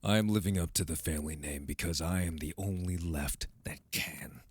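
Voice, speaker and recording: A man's voice: deep voice, moderate pace, slightly rough, dry recording. A man playing a proud, wounded young vampire hardened into bitterness, with intense, accusing delivery in an audio drama. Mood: cold, defensive. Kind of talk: dramatic audio roleplay acting.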